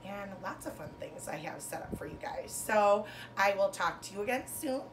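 A woman in her thirties, a teacher reading a children's storybook aloud to pupils with expressive, sing-song phrasing and emphasized words. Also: mid-range voice, normal speed, noisy background. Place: bedroom, indoors. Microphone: camera a couple of metres away.

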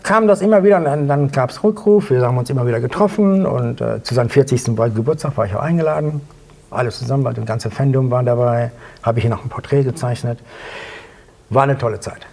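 A man in his fifties telling anecdotes as an interview guest, excitable and acting out dialogue in short bursts. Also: mid-range voice, fast talker, creaky. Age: 50s. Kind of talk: animated anecdotal storytelling. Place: small indoor studio set at a table.

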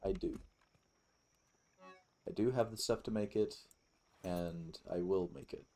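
A man's voice: low-pitched, measured pace, mellow. A man giving casual, rambling let's play commentary with some swearing. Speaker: gaming YouTuber.

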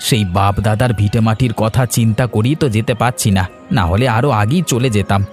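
A man's voice: lowish voice, fairly quick, a little mellow, soft background music, lightly acted. A man voicing a destitute, despairing village neighbour in a cartoon, with a lamenting manner. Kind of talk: cartoon drama dialogue.

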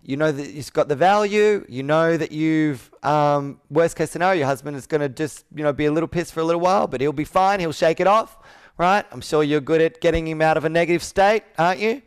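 A man's voice: on the high side, fairly quick, clean tone. A man in his thirties coaching sales on a video call, talking in a persuasive, emphatic way with repeated phrasing. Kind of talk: live sales roleplay coaching. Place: indoor room with whiteboard, on video call.

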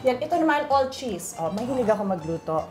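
A woman's voice: on the high side, big pitch swings, fairly quick. Chatty woman in a kitchen. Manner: chatty, mixes English into her talk. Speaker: guest homeowner cooking. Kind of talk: casual kitchen chat. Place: home kitchen indoors. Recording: music in background.

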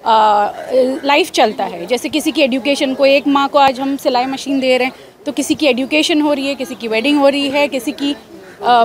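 A woman in her thirties with an Indian accent, speaking in an outdoor interview as a charity representative, mixing two languages. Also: high voice. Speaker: NGO representative being interviewed. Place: outdoors at a street event.

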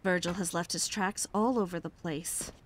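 An actress playing a cool, businesslike young demon hunter with a curt, composed manner in a video game cutscene. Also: female voice, on the low side, steady tone, moderate pace, a little hiss or hum, natural voice. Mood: cool, brisk. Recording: loud music behind the voice.

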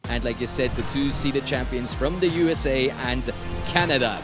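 A man's voice: medium pitch, normal speed, over music. A man presenting live sports coverage as lead host and commentator, with an enthusiastic manner. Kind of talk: live event hosting.

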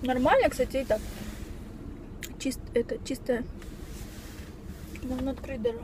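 High-voiced young woman chatting in a noisy car. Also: unhurried. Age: young adult. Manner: chatty, rambling, slangy. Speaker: vlogger. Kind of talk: casual chat while driving. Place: inside a moving car. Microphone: camera mounted on the dashboard. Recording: noisy car cabin with road noise.

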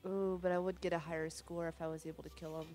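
A young woman sniffly with a cold, thinking aloud in low-key, casual commentary as she plays a video game. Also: flat delivery, fast, dark tone. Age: young adult. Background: game music.

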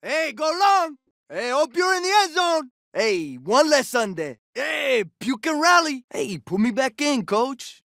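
Cocky jock soldier in a shooter game, barking short lines, super animated, dry close sound. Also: male voice, very high voice, measured pace, no echo, full character voice. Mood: wisecracking. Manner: brash, punchy short callouts, wisecracking. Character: cocky, jokey male soldier, sporty ex-jock type. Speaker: video game operator character. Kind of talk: video game combat barks and callouts.

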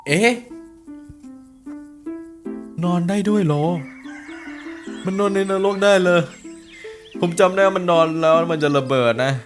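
A man hosting a reaction video, talking casually with excitable outbursts and repeated words. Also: high-pitched, normal speed, soft background music.

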